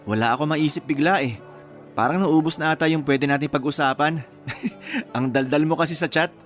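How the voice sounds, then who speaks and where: A man's voice: fairly high pitch, normal speed, close to an everyday voice. An actor playing a teasing, smitten man in his thirties in a Filipino radio romance drama. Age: 30s. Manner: playful, flirtatious teasing. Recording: music bed under the dialogue.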